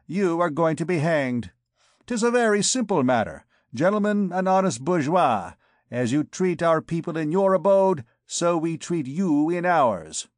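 A man's voice: a little high, very animated, unhurried, no echo, clean studio sound, pitched above the performer's own voice. A man voicing a grandiose, menacing male beggar king who proclaims his titles with scorn. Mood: mocking.

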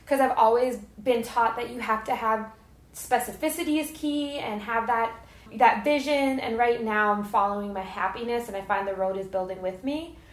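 A woman's voice: on the high side, normal speed. A thirty-year-old woman hosting a podcast, chatting in an effusive, informal and slangy way. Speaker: podcast host. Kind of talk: casual interview chat. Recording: roomy, echoey sound.

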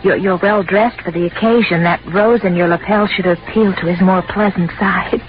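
A woman's voice: on the low side, fairly even intonation, moderate pace, natural voice. Casting: woman to play sweet, timid office secretary, apologetic and deferential, in a dull-sounding old radio thriller. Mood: sweet, polite. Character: polite, sweet, flustered female office secretary. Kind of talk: 1940s radio drama acting. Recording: dull 1940s radio recording with music.

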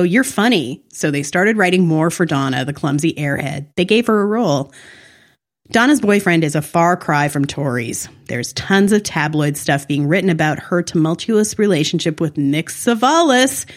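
A woman co-hosting a podcast, chatting about TV and reading excerpts aloud with opinionated, wry asides. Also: on the low side. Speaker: podcast co-host. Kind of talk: casual TV recap chat, reading magazine excerpts aloud.

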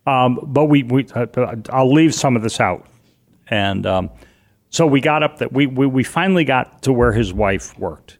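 A middle-aged man with a loud voice, telling a personal story as an interview guest, animated and vivid, acting out what people said. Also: medium pitch, normal speed. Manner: vivid, animated retelling with acted-out quotes.

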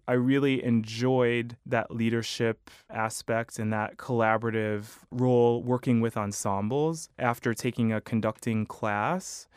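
A man with a clear, resonant speaking voice, recorded close and dry with no room sound. He is a choral conductor and baritone singer who answers interview questions in a thoughtful, articulate way. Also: dry recording. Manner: thoughtful, measured, articulate with small restarts.

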